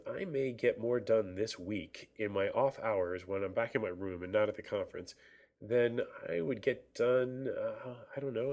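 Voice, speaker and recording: A man's voice: medium pitch, darkish tone. A man in his thirties hosting a casual solo podcast, his voice froggy from a cold, rambling with many fillers and restarts. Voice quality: froggy, congested. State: stuffy from a cold. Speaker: podcast host. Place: dorm room on a college campus.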